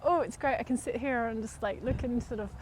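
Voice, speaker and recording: A woman's voice: on the high side, brisk, low-quality recording. A woman from England, an archaeologist, talking in a hesitant, rambling way with many fillers while walking outdoors in a city park. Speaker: archaeologist guest. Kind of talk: casual walking interview. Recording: outdoor street noise and wind.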